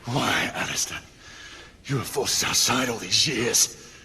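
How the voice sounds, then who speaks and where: An actor playing a stern, wronged knight in a video game drama, gravelly, formal and intense. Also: male voice, medium pitch, expressive, unhurried. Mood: accusing, hurt. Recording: long hall reverb, clear background noise.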